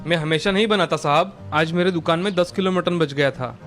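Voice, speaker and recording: A man's voice: on the high side, brisk, a bit bright, soft background music, lightly acted. A man voicing a kind, earnest young butcher and devoted son in a story, speaking politely.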